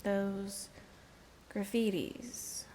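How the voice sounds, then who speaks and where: A woman's voice: medium pitch, steady tone, extremely slow, dark tone, slight background noise. A woman playing a weary, no-nonsense carer with a blunt, hesitant manner in naturalistic audio drama. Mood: exasperated. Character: weary, no-nonsense woman tending an injured man.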